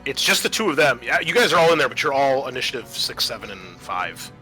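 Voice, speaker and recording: Bright-voiced man running a tabletop game. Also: medium pitch, very animated, fast talker, low-quality recording. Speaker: game master. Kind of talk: running a tabletop roleplaying game, casual rulings and narration. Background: music.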